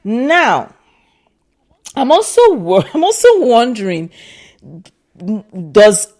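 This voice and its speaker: A woman's voice: a little high, wildly swooping pitch, slow, a little mellow. A woman with a Nigerian accent in a casual opinion vlog, talking emphatically and repeating herself for stress. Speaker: vlogger.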